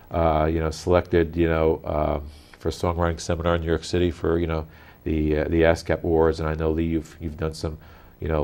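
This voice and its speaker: Deep-voiced interviewer, supportive and rambling. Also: male voice, darkish tone. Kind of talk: interview, many short acknowledgments.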